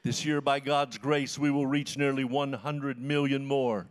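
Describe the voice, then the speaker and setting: An American man in his seventies preaching in short, earnest phrases with emphatic repetition, pausing for an interpreter. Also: mid-range voice, fairly even intonation. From United States. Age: 70s. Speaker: missionary preacher, guest speaker.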